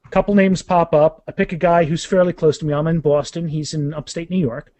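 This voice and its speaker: A man with a fairly high-pitched voice talks in an enthusiastic, chatty way. He sounds like an author being interviewed on a podcast, casual and conversational. The sound is dry, with little room around it. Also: flat delivery, a little fast, darkish tone, dry recording.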